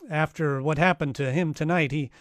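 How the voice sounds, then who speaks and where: A man's voice: on the high side, fairly even intonation, moderate pace, no room sound, lightly acted. A man playing an unflappable, wisecracking private detective who also narrates in a first-person noir radio style. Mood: wry, unflappable. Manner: dry, wisecracking.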